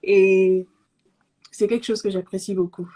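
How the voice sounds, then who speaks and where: A woman from Cameroon, raised in Zimbabwe in an English-speaking home, speaking softly and hesitantly with many fillers as an interview guest over a call microphone. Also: medium pitch, flat delivery, measured pace. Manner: soft-spoken, hesitant with many fillers and restarts. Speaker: guest, pastry entrepreneur. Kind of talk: casual online interview. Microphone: remote call microphone.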